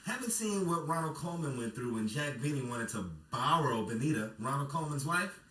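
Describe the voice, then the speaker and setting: Man playing a lively wisecracking radio pal, roomy sound. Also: a little high, a little fast. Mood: exasperated. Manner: exclaiming, stammering in mock disbelief. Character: wisecracking, excitable male radio station pal, Mason. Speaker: actor and announcer in an old-time radio comedy. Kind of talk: 1950s old-time radio comedy acting. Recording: roomy distant mic, faint noise, audience.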